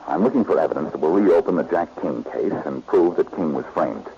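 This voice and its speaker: An actor playing a confident, smooth-talking detective who questions pointedly, in a 1940s radio drama. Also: male voice, rumbling low, animated, a little fast, faint music behind, exaggerated voice. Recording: dull, noisy old radio recording.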